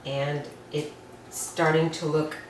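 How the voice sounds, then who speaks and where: A woman's voice: sits low, little pitch movement, slow pace, far from the mic, a little hiss or hum. A woman walking through a recipe step by step in her home kitchen, talking in a homely, chatty way as she works. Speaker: home cook presenting a recipe. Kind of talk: step-by-step cooking demonstration. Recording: echoey kitchen, voice from off-mic. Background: clinking pots and cutlery.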